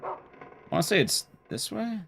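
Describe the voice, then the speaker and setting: A man's voice: high-pitched, wildly swooping pitch, normal speed, creaky, sharp tone. A man streaming a game, chatting casually in a rambling, blunt, conversational way with his viewers. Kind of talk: casual live-stream chat while gaming.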